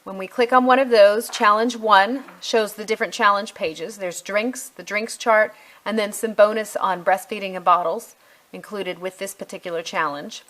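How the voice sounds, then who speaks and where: Middle aged woman doing a chatty review walkthrough, kinda thinking out loud while she clicks around. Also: mid-range voice, fairly even intonation, normal speed, rough recording. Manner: conversational, thinking aloud while navigating. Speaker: homeschool curriculum reviewer. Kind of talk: casual screen walkthrough review.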